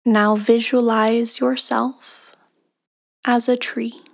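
A woman leading a guided meditation in a soothing, unhurried manner, with long pauses and soft, breathy phrase endings. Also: fairly high pitch, little pitch movement. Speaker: meditation guide, podcast host.

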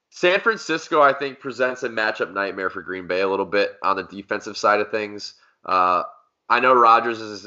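A man's voice: fairly low pitch, bright, forceful tone. A man hosting a podcast, talking sports casually with friends. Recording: dull, band-limited podcast audio.

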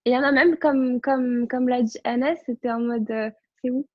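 A woman's voice: fairly high pitch, steady tone, moderate pace, no echo, muffled recording. A young woman from Morocco chatting casually and personally as a student on a podcast panel. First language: French. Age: young adult.

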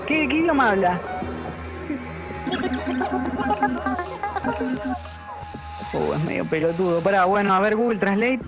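An actor plays a streetwise, joking panelist in a comic radio drama. The voice is medium in pitch with an Argentine accent and brash slangy banter, over loud music. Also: lively intonation, fast talker, lightly acted. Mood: cheeky. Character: cheeky, streetwise radio panelist haggling with aliens.

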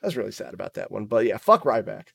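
A man from the United States chatting as a podcast guest, animated and self-mocking, with casual swearing. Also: lowish voice, quick pace, creaky, slightly rough. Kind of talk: casual chat.

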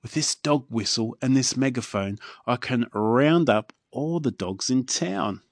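A man's voice: medium pitch, animated, a little slow, no echo, studio quality. A man with an Australian accent voicing a scheming male villain cat with a bossy, sneering manner.

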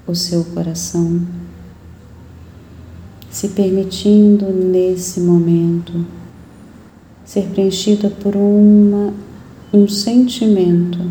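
Dark, slow-voiced Brazilian woman guiding meditation. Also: on the low side, slight background noise. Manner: soothing, gentle, unhurried with long pauses. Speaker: meditation guide. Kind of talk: guided meditation.

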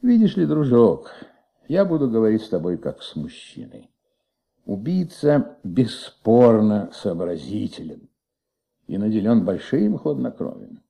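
An actor in a Soviet radio play playing a patient, shrewd middle-aged police commissaire with flu, questioning gently in a husky, creaky voice. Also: male voice, fairly low pitch, big pitch swings, a little slow, exaggerated voice. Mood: earnest, explaining. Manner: gentle, coaxing, paternal questioning.

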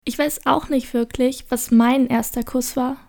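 Gravelly woman telling a casual anecdote. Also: sits high, steady tone, unhurried, warm tone. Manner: casual, storytelling. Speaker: performer in a radio play. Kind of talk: anecdotal monologue.

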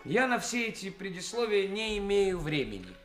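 A man's voice: high voice, very animated, moderate pace, a bit bright. A man acting in a radio play as a young actor who poses as a brazen, cynical bridegroom, speaking in a theatrical, self-important, declamatory way. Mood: brazen, pompous. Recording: radio play recording with music.

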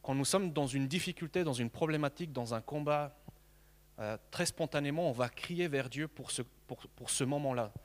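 A man in his thirties from Mexico, a native Spanish speaker, preaching a sermon in an earnest, halting way with many repeated words. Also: mid-range voice, long reverb tail.

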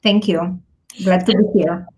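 A woman's voice: on the low side, fairly even intonation, unhurried, a little mellow. Bulgarian-born woman, thoughtful guest. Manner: thoughtful, many fillers and restarts. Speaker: guest, tech executive. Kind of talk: interview answers.

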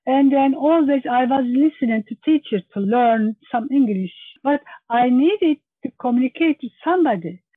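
A woman's voice: high-pitched, lively intonation, moderate pace, dry recording. A woman with a Turkish accent being interviewed as an English learner, with careful, non-native phrasing. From Turkey. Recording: thin phone-like line.

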